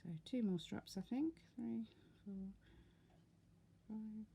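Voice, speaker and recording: A woman's voice: on the high side, slow, vocal fry, warm tone, a little hiss or hum. A woman giving a casual, step-by-step craft tutorial, talking informally and unhurriedly as she works.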